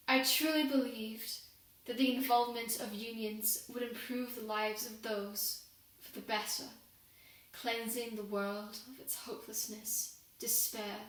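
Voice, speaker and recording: A woman's voice: mid-range voice, a bit bright, close to an everyday voice. A young woman playing a guilt-ridden, devout working woman of the industrial era in a solemn, formal confessional monologue. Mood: regretful. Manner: solemn, deliberate, formal oratory. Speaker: student performer. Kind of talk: dramatic monologue, confessional prayer. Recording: very echoey room, distant microphone.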